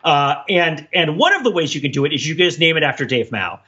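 Animated, husky man with a bright edge. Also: on the high side, quick pace, muffled recording. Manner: wry, wordy, playful. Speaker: podcast co-host. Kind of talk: casual intellectual banter.

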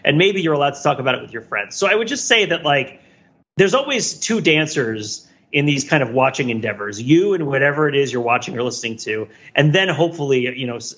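Bright, slightly husky man on a podcast. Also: medium pitch, highs cut off. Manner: emphatic, rambling, philosophical phrasing. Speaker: podcast panelist. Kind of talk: casual reflective commentary in a group podcast.

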